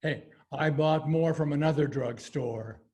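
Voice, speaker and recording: A cranky, blustering pizza customer read by a man in a fairly high voice. He complains with growing indignation, as in a readers theater skit. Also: a little slow, darkish tone, close to an everyday voice. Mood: exasperated. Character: grumpy, exasperated man ordering pizza. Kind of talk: amateur readers theater over video call.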